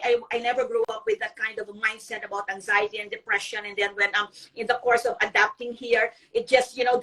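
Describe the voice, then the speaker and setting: Filipina mom on a video call, kinda high voice, lots of ums, sounds a bit far from the mic. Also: female voice, fairly bright tone. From Philippines. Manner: earnest, many ums and restarts. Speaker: guest blogger. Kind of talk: live video interview.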